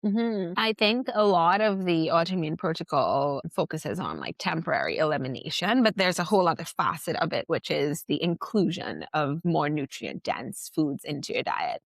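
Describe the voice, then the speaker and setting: A woman's voice: deep voice, sing-song, normal speed, gravelly, few pauses, no room sound, studio quality. A woman from Trinidad and Tobago, a podcast guest, talking casually and reflectively, with lots of filler words and restarts. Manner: chatty, many "like" fillers and self-restarts. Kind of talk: casual reflective interview talk.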